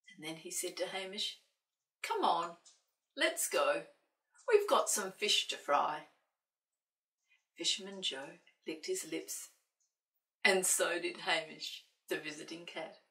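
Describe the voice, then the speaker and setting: A woman reading a picture book aloud to children as an expressive storyteller. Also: fairly low pitch. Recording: roomy, distant microphone.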